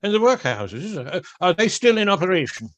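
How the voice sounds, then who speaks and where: A man's voice: sits high, very animated, moderate pace, dry recording, muffled recording. An actor playing a curt, scornful, miserly old businessman in a radio play. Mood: sardonic.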